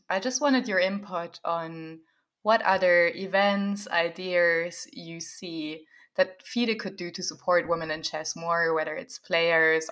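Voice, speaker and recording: A woman's voice: lowish voice, a bit bright. A woman from Germany, hosting a podcast and asking long, chatty questions. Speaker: podcast host. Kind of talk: podcast interview.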